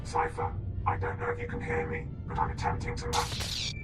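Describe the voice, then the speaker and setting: Very deep, shadowy secret contact. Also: male voice, completely flat delivery, moderate pace, fairly bright tone. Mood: urgent. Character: secretive male handler, guarded self-described invisible friend. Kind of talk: cinematic video game acting. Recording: roomy echo with music behind.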